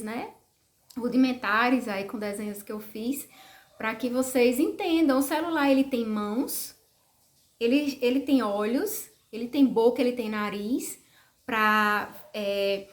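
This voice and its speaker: A woman, a speech therapist explaining to parents in a didactic way, often checking in with "tá?" and slowing down to stress words. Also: on the high side. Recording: echoey room.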